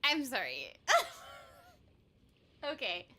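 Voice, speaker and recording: A woman's voice: a little high, very animated, very slow, bright, forceful tone. A woman giving casual, playful, giggly live commentary as she plays a video game. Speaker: streamer and voice actor.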